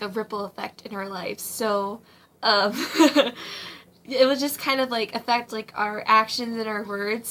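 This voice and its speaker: A young woman in her twenties, slightly hoarse, giving casual advice from her home kitchen in a rambling way, with many ums and likes. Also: a little high, a bit bright. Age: 20s. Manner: rambling, full of ums and likes, frequent laughs. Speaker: vlogger. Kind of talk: casual faith-based advice vlog.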